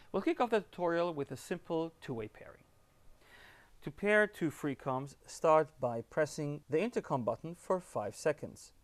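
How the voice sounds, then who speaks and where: Man presenting a tutorial, slightly high voice. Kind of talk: scripted instructional narration.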